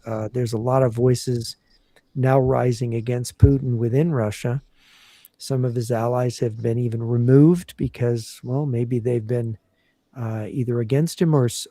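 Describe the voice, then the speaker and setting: Man, gravelly, dark voice, explanatory, lots of ums and restarts, dry close mic. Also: mid-range voice, no echo. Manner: measured, explanatory, many fillers and restarts. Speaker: guest analyst. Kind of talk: casual podcast interview.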